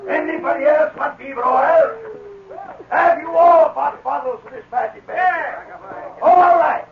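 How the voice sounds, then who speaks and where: An actor playing a brash medicine show pitchman with loud, folksy, theatrical sales patter in a 1940s radio drama. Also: unhurried, vocal fry, a crowd in the background, heavily acted. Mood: brisk, commanding. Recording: muffled 1940s radio recording.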